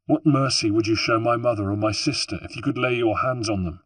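A man's voice: mid-range voice, a little fast, fairly bright tone, dry recording, pitched above the performer's own voice, close to an everyday voice. A man voicing a cold, vengeful young male assassin who talks in a menacing hiss.

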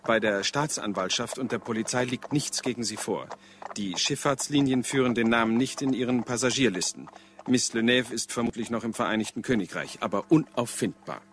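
An actor playing a dutiful, literal-minded police detective sergeant in a German radio crime drama, formal, precise and deferential. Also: male voice, medium pitch, brisk, faint music behind, close to an everyday voice. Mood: precise, reporting.

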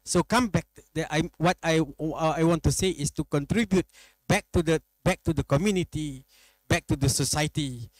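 A middle-aged man from Malaysia, a professor answering interview questions, speaking haltingly and restarting his phrases. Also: on the high side, dry recording, low-quality recording. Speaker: guest professor. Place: studio set.

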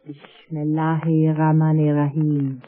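A woman playing a serene, sinister villainess in an old radio drama, formal, deliberate and silkily menacing. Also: deep voice, little pitch movement, slight background noise. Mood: quietly menacing. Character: exotic villainess, serene, sinister snake charmer. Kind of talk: 1930s radio drama acting. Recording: tinny 1930s radio broadcast.

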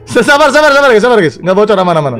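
Fast, high-pitched, excitable young Indonesian man. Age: young adult. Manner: excitable, repeats phrases rapidly. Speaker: game streamer. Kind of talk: live esports watch-along commentary, casual slang. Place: indoor streaming setup. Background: music in the background.

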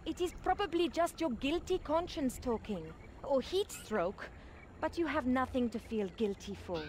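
A woman's voice: high voice, animated, moderate pace, a little mellow, a little hiss or hum. A woman playing a proud, easily exasperated young desert princess in a video game, with formal, scolding phrasing. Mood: composed.

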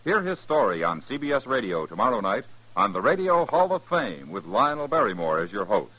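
A man's voice: fairly low pitch, big pitch swings, fairly quick, bright, forceful tone, husky, no echo. An American man working as a radio announcer, reading a scripted 1950s network announcement. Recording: muffled 1950s radio broadcast recording.

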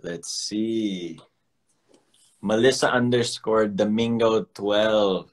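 A man's voice: on the low side, steady tone, takes it slowly. Young man, livestream host, playful, casual chat, phone at arm's length, indoor room. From United States. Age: young adult. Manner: playful, chatty, switching between English and Tagalog. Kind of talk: casual livestream chat mixing English and Tagalog.

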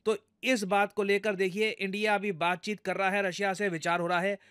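A man's voice: high voice, level tone, moderate pace, fairly bright tone, clear, resonant tone, no echo, clean studio sound. A man with an Indian accent giving explanatory news commentary in a conversational way, addressing viewers directly and going step by step. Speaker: news commentator, video host.

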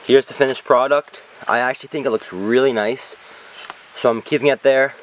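A man's voice: medium pitch, fairly even intonation, normal speed, a little hiss or hum. A young man giving plain, matter-of-fact commentary as he works outdoors in a forest, recorded on a camera mic. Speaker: bushcraft vlogger. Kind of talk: casual narration while working. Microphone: camera mic on a tripod or handheld.

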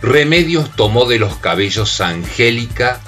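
A man's voice: medium pitch, lively intonation, normal speed, pushed and bright, faint music behind. A man narrating a radio drama. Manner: dramatic storytelling.

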